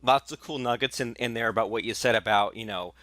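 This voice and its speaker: A man's voice: on the low side, fast talker, fairly bright tone, dry recording. A man hosting a marketing podcast, eager and chatty, packing his questions with fillers and restarts. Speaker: podcast host. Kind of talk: casual podcast interview.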